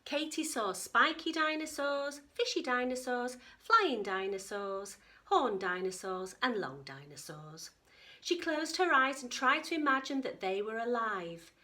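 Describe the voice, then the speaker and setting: A woman narrates a children's picture book with a lively, swooping delivery. Her voice is bright, and she breaks off to chat with and question her young listeners in a teacherly way. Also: on the high side, measured pace. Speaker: teacher reading story time.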